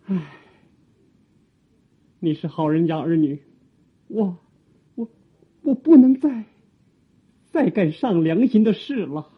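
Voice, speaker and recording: A woman acting an aging, servile, guilt-ridden palace eunuch in an audio drama, with a thin, husky voice and halting, sighing, theatrical delivery. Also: on the low side, lively intonation, muffled recording, heavily acted. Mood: remorseful, weary.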